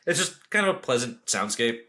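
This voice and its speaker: A man's voice: medium pitch, big pitch swings, a little fast, pushed and bright. A man hosting a podcast, giving a casual, conversational album review. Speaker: podcast host.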